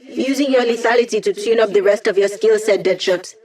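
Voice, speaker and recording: A woman's voice: medium pitch, a little fast, a little mellow, lightly acted. Game role: actress as young female tech expert, snarky, playful, upbeat, conversational delivery with a teasing edge. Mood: brisk, focused. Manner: wry, casual, playful teasing. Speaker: video game support character. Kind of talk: video game voice acting.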